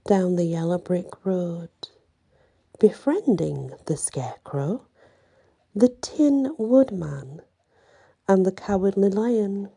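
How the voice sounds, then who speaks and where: A woman tells a bedtime story in a soft ASMR manner. Her voice is fairly low and very mellow, with a husky, breathy grain. She takes her time and draws out words.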